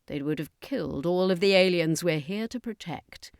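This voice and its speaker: A woman voicing a callous, matter-of-fact circus master in an audiobook, cold and hushed. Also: on the low side, normal speed, no room sound, studio quality, natural voice. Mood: cold reassurance.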